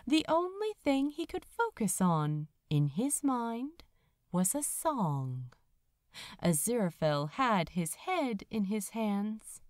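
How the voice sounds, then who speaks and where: A woman reading fiction aloud as a dramatic narrator, expressive and measured, with deliberate pauses. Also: medium pitch, dry recording, studio quality. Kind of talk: dramatic scripted audiobook narration.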